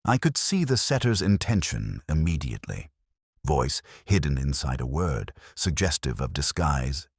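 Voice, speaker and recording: An older man playing a melancholy, cerebral detective, narrating a scripted mystery in a measured, reflective way. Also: a bass voice, very animated, husky, dry recording, studio quality, heavily acted. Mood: brooding, reflective. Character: melancholy, cerebral, brooding male English police detective.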